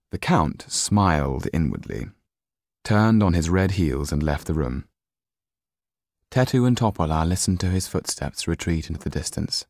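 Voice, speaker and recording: A man narrating a novel as an audiobook in expressive, measured storytelling. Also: low-pitched, vocal fry, no room sound, clean studio sound.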